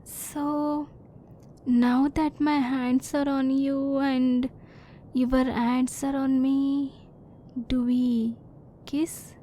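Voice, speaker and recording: A woman voicing a curious, reserved female alien in an ASMR roleplay, speaking softly in formal, stilted phrases, at times close to a whisper. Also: high-pitched, little pitch movement, drawn-out words, pauses often, slight background noise. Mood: attentive, unsure. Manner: soft-spoken, sometimes near whisper.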